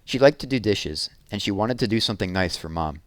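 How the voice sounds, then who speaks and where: A man reading a children's book aloud as an expressive narrator. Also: fairly low pitch, brisk, no echo.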